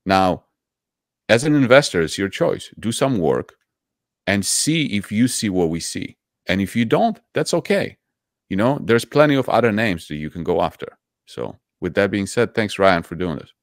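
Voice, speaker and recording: Emphatic low-voiced man on a panel. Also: lively intonation, moderate pace, no room sound, studio quality. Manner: emphatic, persuasive, repeats for effect. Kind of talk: panel discussion. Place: home room, video call. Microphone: headset.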